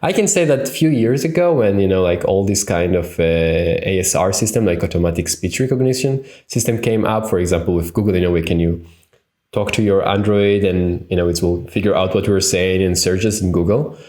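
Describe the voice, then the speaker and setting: A man's voice: deep voice, fairly quick, a little mellow. A man in his thirties with an Israeli accent, teaching a conference tutorial over a webcam, thinking aloud with many fillers and restarts. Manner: thinking aloud with frequent fillers and self-corrections. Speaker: tutorial instructor. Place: home room, indoors. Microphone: webcam on a video call. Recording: roomy echo.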